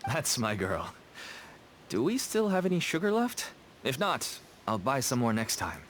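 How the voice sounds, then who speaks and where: A man's voice: medium pitch, huge pitch swings, unhurried, a bit bright, slight background noise. An actor playing a nervous, ingratiating merchant father with a shady trade in a video game. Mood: warm, fatherly. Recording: game music behind the voice.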